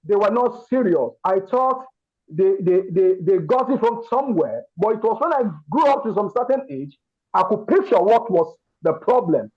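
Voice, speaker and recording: A Nigerian man with a Yoruba accent and a notably high-pitched voice. He speaks earnestly, as if giving a testimony to a group, stressing points by repeating words. The sound is dull and lo-fi, like an online call. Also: expressive, normal speed. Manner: emphatic, repeats words and phrases. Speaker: participant in an online masterclass giving feedback.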